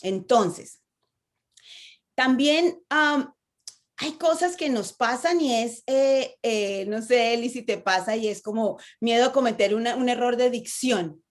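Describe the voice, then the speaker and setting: Latin American woman, slightly high voice, engaging speaking coach. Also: takes it slowly. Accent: Latin American Spanish. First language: Spanish. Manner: engaging, asks rhetorical questions, frequent "¿no?". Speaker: speaker on stage fright. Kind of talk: conversational talk with a guest.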